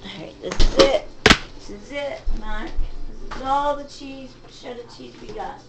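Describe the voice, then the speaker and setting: A woman's voice: high voice, unhurried, over loud music. A middle-aged woman, talking casually and bluntly in a rambling, aggrieved way to camera at home. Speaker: home vlogger. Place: rooms of a home, indoors.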